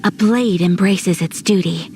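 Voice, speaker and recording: A woman's voice: lowish voice, unhurried, darkish tone, slight background noise, rough recording. A woman playing a composed, courteous swordswoman with poised, formal phrasing in a video game. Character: composed, courteous swordswoman, philosophical about her blade. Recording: game music behind the voice. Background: game music.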